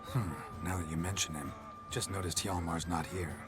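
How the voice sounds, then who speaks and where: A man playing a stoic, dry-humored monster hunter in a fantasy game, terse and deadpan. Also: low voice, moderate pace, music in the background, close to an everyday voice. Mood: dry, unhurried. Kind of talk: cinematic fantasy game acting.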